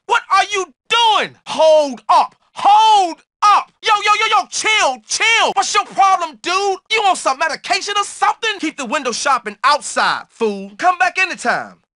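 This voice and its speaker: A man's voice: extremely high pitch, expressive, unhurried, hardly any pauses, bright, forceful tone, no echo, clean studio sound, exaggerated voice. A man voicing a cocky, slangy young streetwise jock for a video game, full of brash attitude. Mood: annoyed. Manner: slangy, brash trash talk. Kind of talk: video game character barks.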